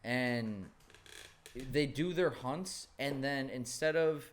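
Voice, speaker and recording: A man's voice: mid-range voice, slow pace, slight background noise. A young man hosting a podcast, chatting casually with jokes, bursts of energy and casual swearing. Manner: joking, animated, swears casually. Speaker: podcast host.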